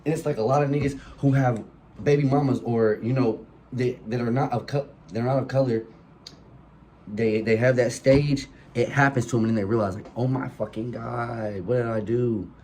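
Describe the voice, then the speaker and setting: A man's voice: mid-range voice, steady tone, moderate pace, darkish tone, a little hiss or hum, rough recording. A young man with a cold, sniffling as he talks, preaching passionately and profanely into a phone mic in a room with colored lights. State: sick and sniffling. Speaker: podcast host. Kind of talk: solo podcast monologue.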